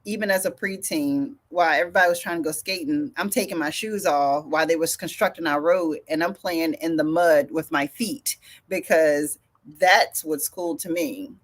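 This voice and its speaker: A woman's voice: sits low, normal speed. Laughing woman telling stories on a podcast. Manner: laughing, relaxed storytelling. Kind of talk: casual podcast conversation.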